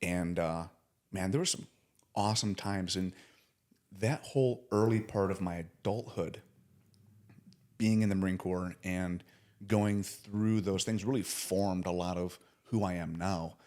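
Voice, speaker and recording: Podcast host, man, 40s, very low voice, earnest and casual. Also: a little slow. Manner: earnest, warm praise with casual slang. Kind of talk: casual interview chat.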